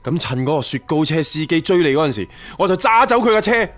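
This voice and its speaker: A man's voice: fairly high pitch, expressive, fast talker, soft background music, natural voice. An actor playing a naive but drily sceptical young man in a radio drama, with a Hong Kong accent and casual, plain-spoken delivery. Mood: earnest.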